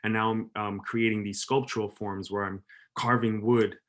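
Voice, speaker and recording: A man speaking as an artist on an online panel over a video call, thoughtful and halting with many fillers. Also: fairly low pitch, flat delivery, a bit bright.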